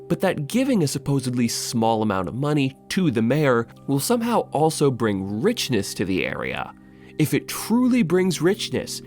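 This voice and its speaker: A man's voice: mid-range voice, a little mellow. A man narrating a scripted video essay as its host, in a wry, sardonic, casually sweary way.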